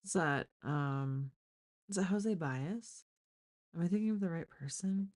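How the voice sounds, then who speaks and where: A woman's voice: lowish voice, a little slow, darkish tone. A woman hosting a casual true-crime live stream, speaking bluntly and informally with the odd swear word.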